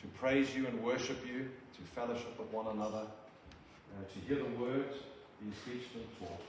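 Older South African male preacher; earnest, halting delivery; distant mic, big church reverb. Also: medium pitch, steady tone. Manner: earnest, halting, with frequent restarts. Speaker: visiting preacher. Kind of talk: sermon with prayer. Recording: very echoey church from a distant mic with room noise.

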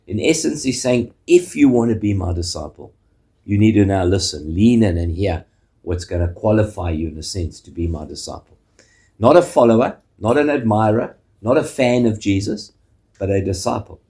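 A man with a South African accent preaching a sermon as pastor, measured, often ending points with a questioning "eh?". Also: lowish voice, animated, dark tone.

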